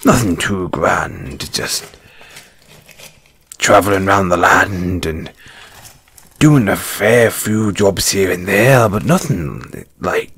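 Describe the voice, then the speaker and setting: A man playing a kind, gruff dwarf blacksmith and former adventurer in a fantasy audio roleplay, speaking in a folksy, avuncular way. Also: low voice, expressive, slow, pauses often, a bit bright, full character voice. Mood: friendly, bargaining. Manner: hesitant, folksy, avuncular, addresses listener as lad. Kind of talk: fantasy audio roleplay acting, second-person dialogue.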